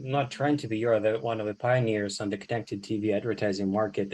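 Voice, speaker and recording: Male podcast host, lower-pitched voice, presenting and interviewing. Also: a little mellow, poor audio.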